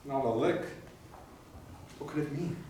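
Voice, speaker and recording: A man's voice: medium pitch, measured pace, warm tone, natural voice. Guy acting a nervous earnest young guy in a musical, hesitant, distant mic, faint hiss. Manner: hesitant, flustered. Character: nervous, bumbling young man, earnest reluctant accomplice. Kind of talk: live stage musical acting. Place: staged reading before a live audience. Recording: echoey hall, distant mic, faint noise.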